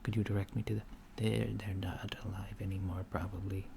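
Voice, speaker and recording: Male, lowish gentle voice for an ASMR alien clerk: curious, earnest, apologetic, near-whispered, over clearly audible noise and typing. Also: level tone, fairly quick. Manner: hesitant, apologetic, earnest questioning, drifting into whispers. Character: polite, curious, naive alien data-entry clerk. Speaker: ASMR roleplay performer. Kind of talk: soft-spoken ASMR roleplay. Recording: noisy close recording with keyboard typing. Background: computer keyboard typing.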